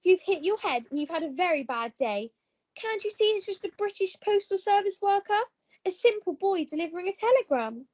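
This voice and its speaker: Woman as gushing young heroine, high-pitched, posh and plucky, old-fashioned radio melodrama, lo-fi sound. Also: animated, fairly quick, a little mellow. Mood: soothing. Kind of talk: melodramatic radio play acting.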